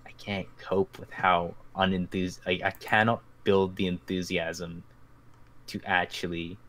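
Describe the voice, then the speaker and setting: A man's voice: sits low, poor audio. A man hosting a casual podcast, talking over a voice call in a rambling, profane, self-mocking way. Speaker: podcast host.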